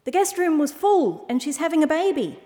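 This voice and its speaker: A woman's voice: sits high, expressive, brisk, mellow, in a large hall, voice pushed up. A woman voicing an earnest donkey in a children's story, explaining and pleading.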